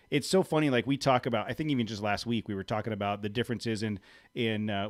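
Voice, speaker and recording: A man's voice: medium pitch, dry recording. An American man hosting a live-stream podcast, chatty and jokey, laughing often as he talks with his guest. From United States. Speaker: podcast host. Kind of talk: casual live-stream chat.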